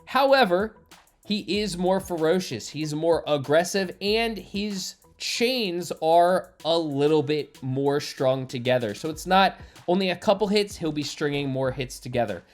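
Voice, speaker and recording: A man's voice: on the high side, expressive, unhurried. A man giving enthusiastic, explanatory video game walkthrough commentary as the creator of a gaming channel. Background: game music.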